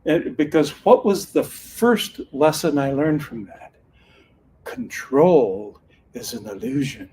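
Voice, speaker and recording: A man's voice: on the high side, lively intonation, slow, mellow, husky. An older man from Northern California, a retired sheriff's officer who now does card readings, telling his story in an earnest, folksy way and often restarting sentences. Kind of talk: casual storytelling talk.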